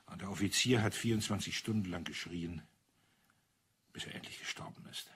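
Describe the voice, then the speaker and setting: An actor playing an old retired officer in a crime radio play, telling things in a deliberate, matter-of-fact, bitter way with a husky voice. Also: male voice, sits low, fairly bright tone, a little hiss or hum. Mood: grim, restrained. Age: older.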